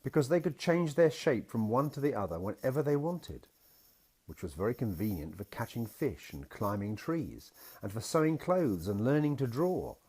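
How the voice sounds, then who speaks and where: A man voicing a gentle, patient father in a picture-book story, reassuring his small daughter as he explains things. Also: mid-range voice, big pitch swings, normal speed, husky, voice pushed up. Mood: explaining.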